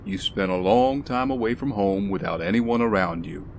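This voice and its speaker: A man's voice: lowish voice, normal speed, gravelly, slight background noise. A man playing a weary, straight-talking ex-soldier bodyguard in an audio drama, dry and exasperated.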